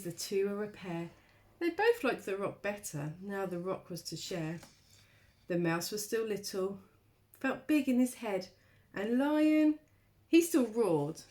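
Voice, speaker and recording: A woman reading a children's storybook aloud like a primary school teacher, expressively, with dramatic pauses and emphasis. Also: medium pitch, darkish tone.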